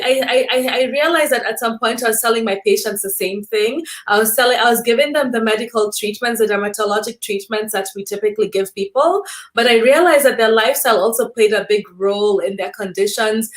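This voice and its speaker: A woman from Kenya with a fairly high voice speaks as a guest in an interview. She tells her story and explains her work conversationally, in an earnest manner, sometimes repeating words as she goes. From Kenya, Nairobi.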